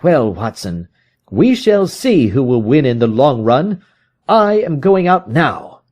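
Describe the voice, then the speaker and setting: A man's voice: mid-range voice, big pitch swings, slow pace, a little mellow, pitched above the performer's own voice. A man voicing a proud, brooding detective in an audiobook, with an emphatic, agitated way of declaring things. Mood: grimly determined. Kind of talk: dramatic audiobook character reading.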